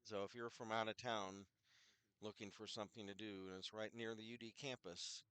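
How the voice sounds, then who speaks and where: A man's voice: fairly low pitch, little pitch movement, normal speed, a bit bright, clean tone, no echo. An older man with a head cold and scratchy throat, chatting casually as a hockey announcer between plays. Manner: rambling, chatty, self-deprecating asides. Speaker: play-by-play announcer. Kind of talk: casual hockey broadcast commentary.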